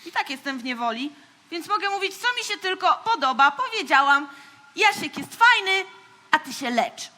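A woman's voice: very high voice, big pitch swings, normal speed, pushed and bright, exaggerated voice. An actress playing a captive female alien in a radio play, sarcastic and theatrically despairing. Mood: mocking. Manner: sarcastic, melodramatic. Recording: music behind the voice.

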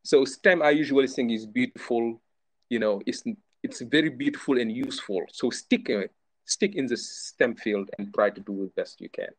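A man's voice: medium pitch, moderate pace. A man, a researcher and educator on a panel, encouraging students over a video call in a pleading way. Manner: encouraging, pleading, with many fillers. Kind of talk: panel discussion answer.

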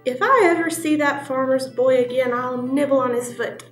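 A woman's voice: sits high, normal speed, roomy sound, soft background music, lightly acted. A woman voicing a daring, pompous little male mouse who grumbles about hunger. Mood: grumbling.

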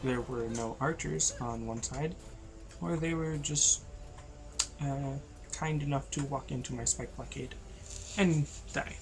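Tired young man with a gravelly voice. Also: fairly even intonation, measured pace, music in the background, low-quality recording. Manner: low-energy, rambling with many fillers. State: tired, losing his voice. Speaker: gameplay commentator. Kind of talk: casual let's-play commentary.

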